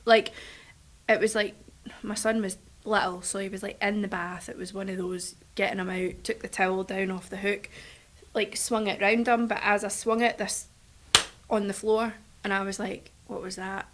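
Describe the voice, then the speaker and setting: Woman, podcast guest, casual chat, middle pitch, supportive listener. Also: flat delivery, moderate pace, slight background noise.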